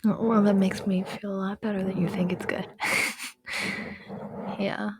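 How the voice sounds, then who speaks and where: A woman in an improvised intimate roleplay, talking softly and affectionately to a partner in a rambling, often breathy, near-whispered way. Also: medium pitch, flat delivery, normal speed, background noise. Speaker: audio roleplay storyteller.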